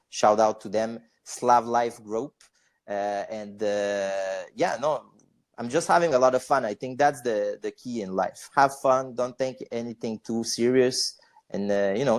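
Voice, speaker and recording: Low-voiced Bosnian-born man rambling casually. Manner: rambling, swearing, many fillers and restarts. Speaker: podcast guest. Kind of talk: casual interview chat.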